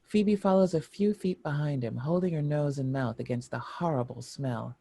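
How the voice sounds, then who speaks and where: A woman narrates stage directions from a screenplay. Her voice is dark and relaxed, recorded close and dry. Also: low voice, dry recording.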